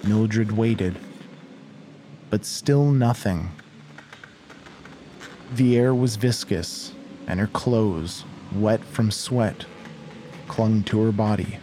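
A man narrating a scripted horror audio drama in a measured, literary storytelling manner. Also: on the low side, mellow, slight background noise, low-quality recording.

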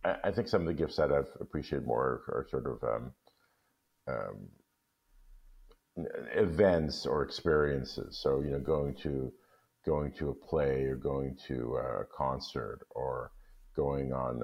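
A man chatting casually as a guest, halting and repeating words as he thinks aloud. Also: a bass voice, gravelly, slightly rough, muffled recording. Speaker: guest story reader in discussion. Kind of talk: casual conversation.

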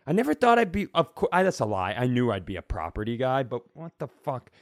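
A man's voice: medium pitch, very animated, fast talker, dry recording, clean studio sound. An American man tells a funny anecdote in a casual, profane podcast style, acting out bits of dialogue as he goes. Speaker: comedy podcast co-host. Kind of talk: casual comedic storytelling.

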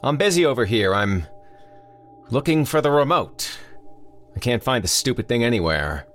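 A man voicing an irritable adult man who yells back loudly and grumbles. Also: a little high, huge pitch swings, moderate pace, slightly rough, faint music behind, voice pushed up, heavily acted. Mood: annoyed. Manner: loud, annoyed yelling. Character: grumpy adult man, irritable then alarmed.

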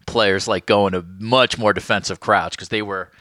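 A man hosting a casual podcast interview, putting his questions loosely and hedging as he goes. His voice is bright and forward, and it sits in a dry, close sound with no room echo. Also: lowish voice, steady tone, moderate pace. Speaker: podcast host.

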